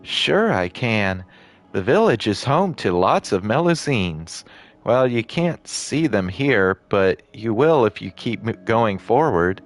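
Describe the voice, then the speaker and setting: A man's voice: on the low side, very animated, slow pace, soft background music. A man reading aloud the lines of a friendly, welcoming female village creature from a game. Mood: cheerful.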